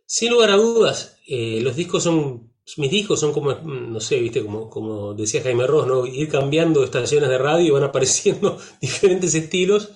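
A man from Uruguay with a Rioplatense Spanish accent, a musician talking about his album in a relaxed interview, reflective, with frequent fillers and tag questions. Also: medium pitch, moderate pace, few pauses.

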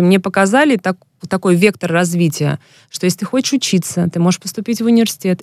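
A woman's voice: on the low side, a little mellow, no room sound, studio quality. Frank, reflective woman telling stories. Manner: frank and reflective, storytelling at length. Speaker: interview guest, former pro basketball player. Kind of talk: casual interview talk.

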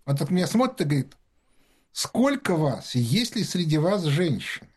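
This is a man with a fairly high, husky voice that rises and falls a great deal. He tells animated anecdotes in a casual conversation. His delivery is loose and conversational, the voice of a guest sharing a story. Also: normal speed.

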